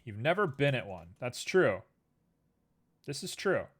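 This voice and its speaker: A man's voice: mid-range voice, a little slow, slightly rough. A young man streaming live, chatting casually and rambling to his viewers while building a 3D scene. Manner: relaxed, rambling, talks to chat and to objects on screen.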